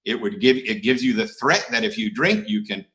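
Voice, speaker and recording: Bright-voiced man, self-mocking AA share. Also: mid-range voice, lively intonation, normal speed. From United States. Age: middle-aged. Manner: self-mocking, dry humor, many uhs. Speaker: AA speaker. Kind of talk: recovery share talk. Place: online conference.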